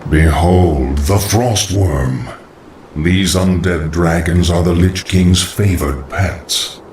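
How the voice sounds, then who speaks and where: An actor playing a cold, scheming undead lich sorcerer in a video game cutscene, with a hollow voice and formal, measured archaic phrasing. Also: male voice, low voice, a little mellow, slight background noise. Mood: cold, knowing. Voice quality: hollow, echoing. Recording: echoing, distant voice over music.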